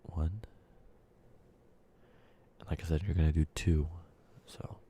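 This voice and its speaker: A man with a cold softly speaking and whispering in a rambling ASMR video, sounding congested and short of breath. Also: a bass voice, fairly even intonation, slow, breathy edge. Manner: soft speaking drifting into whispers, rambling with fillers. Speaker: ASMR creator. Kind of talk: soft-spoken ASMR candy-making ramble.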